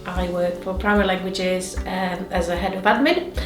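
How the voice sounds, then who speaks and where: A woman with a Czech accent, telling lively stories about her early days abroad as an interviewee. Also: fairly low pitch, normal speed. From Czech Republic. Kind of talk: personal anecdote. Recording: roomy, distant mic, music behind.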